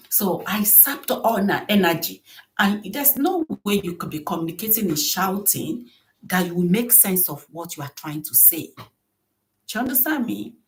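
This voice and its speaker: A soft-voiced middle-aged woman hosting a podcast, giving earnest solo advice on marriage and often repeating key phrases for emphasis. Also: mid-range voice, lively intonation, unhurried, poor audio. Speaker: podcast host.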